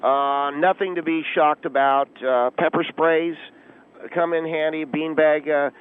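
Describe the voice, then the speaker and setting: A man playing an officious, self-important but clueless volunteer auxiliary policeman on a phone line, deadpan radio comedy with pompous, halting tactical jargon. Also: on the high side, clean tone, voice pushed up. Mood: calm, pompous. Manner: pompous pseudo-tactical jargon, hesitant filler-laden delivery. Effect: telephone line. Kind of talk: deadpan comic radio call-in. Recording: tinny, narrow phone line.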